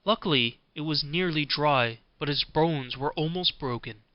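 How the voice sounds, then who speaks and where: A man narrating a scripted fairy tale as an audiobook, in a measured storybook manner. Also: fairly high pitch, no room sound, lo-fi sound.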